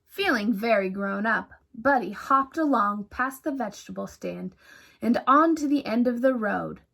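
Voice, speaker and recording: A woman reading a children's storybook aloud like a teacher to young pupils, deliberate, emphatic and expressive. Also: on the high side, creaky.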